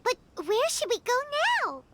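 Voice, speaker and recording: A woman voicing a small excitable fairy-like girl companion in video game cutscene dialogue, chirpy and quick to blurt out reactions. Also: extremely high pitch. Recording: game audio with loud background noise.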